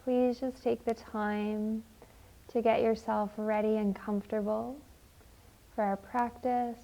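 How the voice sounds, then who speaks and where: A woman leading a guided meditation in a gentle, measured, deliberate way. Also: fairly high pitch, level tone, gravelly, lo-fi sound. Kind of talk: slow, soothing guided meditation and poem reading.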